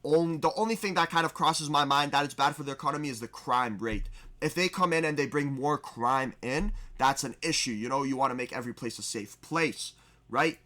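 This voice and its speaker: A man's voice: mid-range voice, fairly quick, bright, forceful tone. A man streaming, talking casually as he reacts to a video, rambling and often restarting his sentences.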